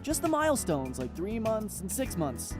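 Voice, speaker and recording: A man's voice: sits high, huge pitch swings, moderate pace, music in the background. A man voicing a goofy, earnest, lovestruck student in a visual novel. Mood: bashful.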